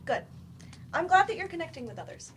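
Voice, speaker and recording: A supportive therapist played by a woman with a high voice and lively, swooping intonation. It is live stage dialogue with clear room noise behind it. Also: fairly quick, full character voice. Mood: measured, supportive. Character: measured, supportive female doctor, a therapist. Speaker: actor in a staged play reading. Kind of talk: stage play reading.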